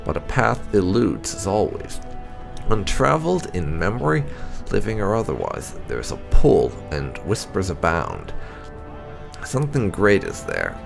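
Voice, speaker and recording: A man reading fantasy game lore aloud in a measured, theatrical way with pauses. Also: on the low side, vocal fry. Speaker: gameplay channel host.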